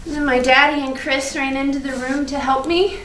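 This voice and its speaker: A woman playing an innocent, chatty but fearful first-grade girl in a theatrical monologue, halting and repeating her words. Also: high-pitched, steady tone, a bit bright. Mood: frightened. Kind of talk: theatrical monologue at a staged reading. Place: indoor room at a live staged reading. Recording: roomy, distant, noisy live stage recording.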